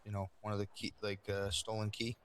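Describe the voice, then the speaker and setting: Man as a fast, flat-toned mob underling. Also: lowish voice, clear, resonant tone, natural voice. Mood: businesslike. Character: obliging male mafia crew member running a venue. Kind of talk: improvised crime roleplay on a livestream.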